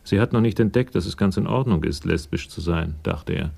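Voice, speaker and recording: Crime drama narrator: bass-leaning, gravelly, detached and sober delivery. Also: male voice, brisk, darkish tone, full character voice. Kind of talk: scripted radio drama narration.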